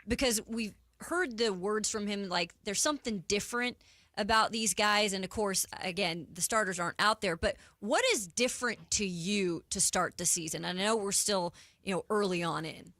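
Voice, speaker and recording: A woman's voice: mid-range voice, normal speed, a bit bright, no room sound. A woman co-hosting a sports radio show, putting questions to a guest in a casual, conversational style.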